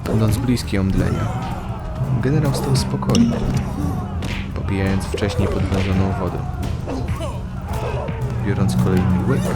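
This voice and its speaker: Casting: male narrator for scripted fantasy audio drama, low voice, prominent music bed underneath. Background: loud music.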